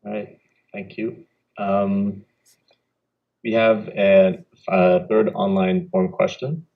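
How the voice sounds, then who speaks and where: A man's voice: fairly low pitch, fairly even intonation, slow pace. A man moderating a student debate, reading questions in brief, procedural turns. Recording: dull lo-fi.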